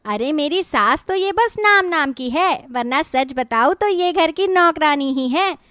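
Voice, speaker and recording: A woman's voice: high voice, animated, a little slow, a bit bright, no echo, clean studio sound. A woman voicing a cruel, bossy young daughter-in-law in a cartoon story, with a haughty, scolding manner. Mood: smug. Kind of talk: Hindi cartoon moral-story dialogue.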